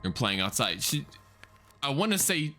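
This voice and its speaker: A man hosting a reaction video, excitedly talking through a horror story, swearing and thinking out loud. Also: medium pitch, moderate pace, faint music behind. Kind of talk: casual reaction commentary.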